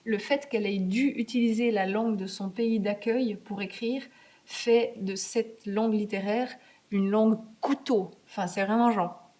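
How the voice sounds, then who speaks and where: A woman's voice: mid-range voice, fairly even intonation, a little slow, a little mellow. A woman, a guest author answering students' questions in a hesitant, casual way, full of fillers and restarts. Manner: hesitant, many fillers and restarts, laughs easily. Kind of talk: conversational Q&A answers. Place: university meeting room.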